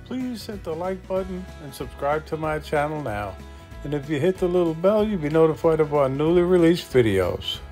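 Casting: middle-aged male vlog host, upbeat, high-set voice, music underneath. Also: lively intonation, normal speed, darkish tone. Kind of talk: upbeat vlog narration and chat.

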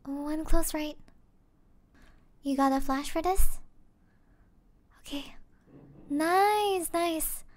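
Woman playing a high-pitched, soft-spoken gamer girlfriend. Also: extremely slow, long pauses. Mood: cheerful, encouraging. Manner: gentle, cooing, giggly, hesitant. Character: sweet young gamer girlfriend, affectionate, apologetic. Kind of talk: soft-spoken ASMR roleplay.

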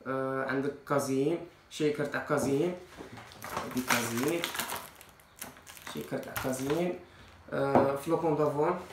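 A man's voice: medium pitch, a bit bright, echoey room, slight background noise. A man in his twenties with an Algerian Arabic accent gives casual fitness advice to camera, mixing in French loanwords, recorded in a tiled room. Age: 20s. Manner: informal, mixes in French loanwords. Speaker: fitness vlogger. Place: small tiled kitchen, indoors.

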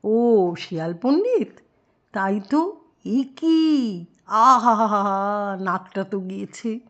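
A woman voicing a kind, timid male village barber in a children's story, soft-hearted and exclaiming. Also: mid-range voice, lively intonation, slow pace, dull audio. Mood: concerned.

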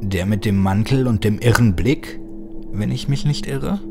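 A man's voice: on the low side, unhurried, music in the background. A man playing a cold, vengeful killer, menacing and accusing, in a horror audiobook.

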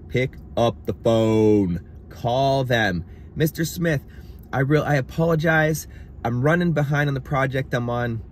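A man's voice: fairly high pitch, slight background noise. A man in his thirties, a handyman, giving emphatic, exasperated advice to contractors from his car, recorded on a phone. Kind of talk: casual advice vlog. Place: parked car. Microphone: phone on dashboard.

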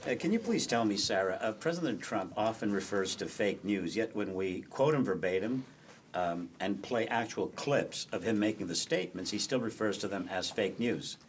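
A man playing a persistent news reporter in a comedy sketch, asking a question in a halting, measured way. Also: on the low side, lively intonation, lightly acted. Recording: distant mic with background noise.

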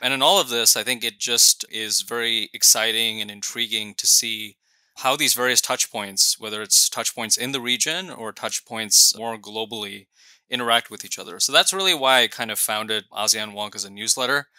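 Bright, dry-voiced male analyst. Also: fairly low pitch, normal speed, smooth, no echo. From Malaysia. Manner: articulate, many fillers like you know and sort of. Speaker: newsletter founder and analyst, guest. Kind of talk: conversational interview answers.

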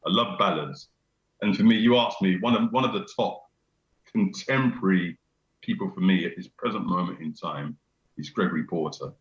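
Deep-voiced man on a roomy video call. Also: moderate pace, highs cut off. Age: middle-aged. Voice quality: deep bass voice. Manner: repeats himself, laughs often, enthusiastic. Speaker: venue owner, guest. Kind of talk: casual chat. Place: small room indoors. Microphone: video call webcam.

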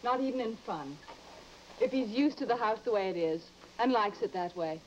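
A woman's voice: medium pitch, expressive, normal speed, close to an everyday voice. A woman playing a polite, timid young wife in an old-time radio drama. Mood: anxious, puzzled. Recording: muffled, hissy vintage recording.

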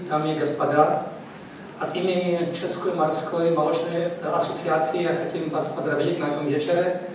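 Higher-voiced man, halting speech. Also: a little hiss or hum. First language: Czech. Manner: halting, apologetic about his Russian. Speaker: association chairman. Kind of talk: formal presentation. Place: large conference hall. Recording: echoey hall from far off.

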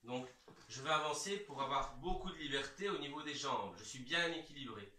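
A man in his thirties giving calm, reassuring step-by-step instructions as an exercise coach, recorded in a large bare room from several metres away. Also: medium pitch, normal speed, slight background noise. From Belgium. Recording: echoey room, distant microphone.

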